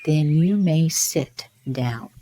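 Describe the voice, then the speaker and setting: Storybook king: bossy, short-tempered male ruler played by a woman, commanding, measured delivery. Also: sits low, lively intonation, full character voice. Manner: sharp, commanding, impatient. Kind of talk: storybook character voice.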